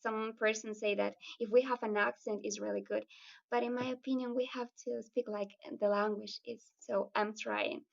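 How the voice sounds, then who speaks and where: A woman's voice: on the high side, flat delivery, moderate pace, gravelly. A woman who tutors children, talking at length in a casual video call, earnest and restarting her phrases. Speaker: student and children's language tutor. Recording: distant muffled video-call audio.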